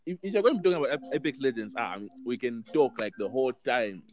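A man's voice: medium pitch, very animated, rapid-fire. A young man chatting on a friends' podcast, offhand and laid-back. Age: young adult. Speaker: podcast regular. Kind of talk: casual chat among friends.

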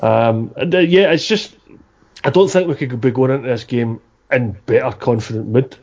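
A man's voice: mid-range voice, normal speed, a little mellow, slightly rough. A man chatting casually about football on a podcast, with hesitant restarts. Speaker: podcast co-host. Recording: dull lo-fi sound.

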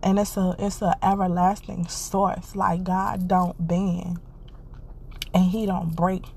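A woman's voice: fairly low pitch, little pitch movement, slow, creaky, a little mellow, a little hiss or hum. A woman hosting a podcast, giving an earnest, encouraging casual monologue and repeating her phrases, congested and stuffy. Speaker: podcast host.

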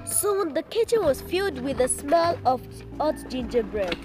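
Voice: high-pitched, sing-song, normal speed. A school pupil, a child, reading a children's story aloud. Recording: loud music behind the voice.

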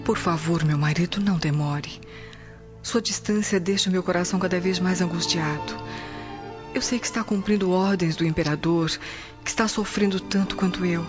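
An actress playing a sorrowful, lonely noble Roman wife in a radio drama monologue, plaintive and tender. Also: female voice, fairly low pitch, fairly even intonation, moderate pace, lightly acted. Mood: anguished, longing. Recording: dull lo-fi radio, clear music bed. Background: music.

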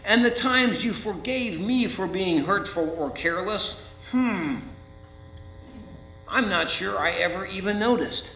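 Male American pastor, sermon delivery, deliberate dramatic emphasis; echoey, slightly muffled recording with faint hum. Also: sits high, animated, creaky, bright, forceful tone. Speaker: Lutheran pastor preaching.

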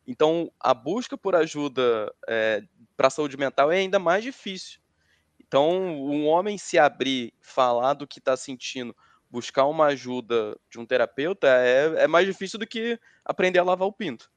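A Brazilian man speaks as a panelist in a casual, reflective discussion. His voice sits a little high for a man, and the sound is dry, with very little room in it. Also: lively intonation, normal speed, dry recording.

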